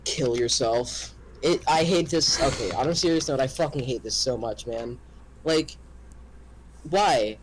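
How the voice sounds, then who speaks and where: Disgruntled deadpan man, noisy recording. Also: medium pitch, unhurried. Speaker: co-reader reacting. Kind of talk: casual reactions. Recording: clearly noisy background, clicks.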